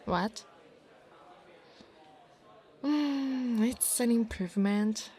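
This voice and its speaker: A woman's voice: a little high, extremely slow, warm tone. A woman voicing a proud, insecure young dragon bully in an ASMR roleplay, teasing and haughty. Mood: wary, grudging.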